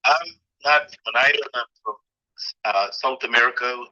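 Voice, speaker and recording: Bright-voiced hesitant man from Guyana. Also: medium pitch, normal speed. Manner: hesitant, many fillers and restarts. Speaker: guest, president of a community association. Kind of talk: interview answers. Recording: dull, lo-fi sound.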